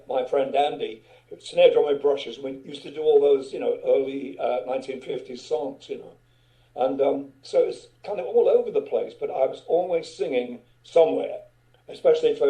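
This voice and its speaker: Loud, very dark-voiced older Englishman. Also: medium pitch. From England. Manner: loud-voiced, rambling, many "you know" asides. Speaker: guest. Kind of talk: casual storytelling interview. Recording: roomy echo.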